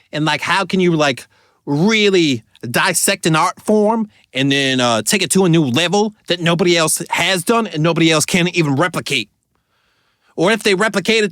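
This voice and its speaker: Slightly hoarse man, casual rambling talk. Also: fairly high pitch, fairly bright tone, no echo, studio quality. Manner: rambling, loose, lots of "like" and swearing. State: voice going hoarse. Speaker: caricature artist reacting to music. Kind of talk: casual reaction commentary.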